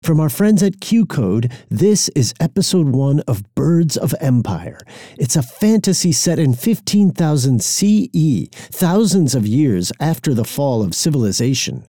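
A man with a mellow voice introduces a show as a podcast host. His scripted delivery is lively in pitch and recorded very dry. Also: measured pace, dry recording.

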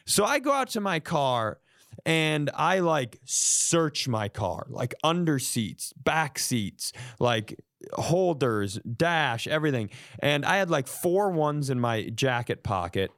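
A man's voice: a little high, big pitch swings, slow pace, a bit bright, no echo, studio quality. A man hosting a podcast, telling personal stories as comic banter in a casual, mock-indignant, profane way and acting out exchanges.

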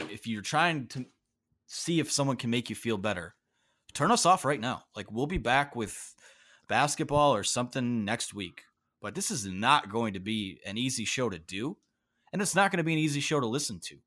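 Male sports podcast host, blunt and emphatic, mid-pitched voice. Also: expressive, normal speed, a bit bright. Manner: emphatic, stern.

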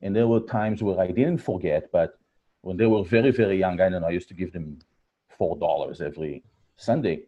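A man's voice: low voice, a little fast, slightly rough, muffled recording. A man in his forties with an Israeli accent, a podcast guest telling stories about his family, with many fillers and restarts. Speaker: guest, startup founder. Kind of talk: podcast interview.

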